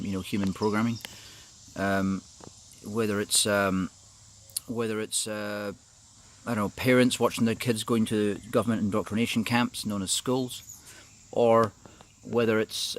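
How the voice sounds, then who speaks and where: A man's voice: lowish voice, slight background noise. A middle-aged man from New Zealand giving an informal, opinionated monologue, rambling and hesitant as he goes. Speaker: video commentator.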